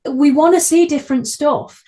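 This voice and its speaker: Woman, high voice, casual conversation, chatty, effusive, podcast host. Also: normal speed, dark tone.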